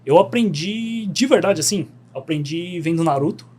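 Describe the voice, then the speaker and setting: A young man, a podcast guest telling stories in relaxed, slangy chat. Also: high-pitched, moderate pace, slightly rough. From Brazil. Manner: laid-back storytelling, slangy, animated.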